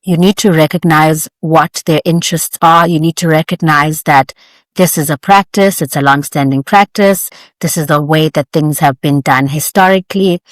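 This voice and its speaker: A woman lawyer answering interview questions in a measured, articulate, formal way. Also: low voice, fairly even intonation, no echo, poor audio. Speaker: guest lawyer.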